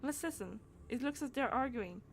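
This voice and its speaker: A woman's voice: high voice, lively intonation, moderate pace, a little hiss or hum. A woman doing casual live game commentary, rambling and giggly as she reacts aloud to what happens on screen. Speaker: game streamer.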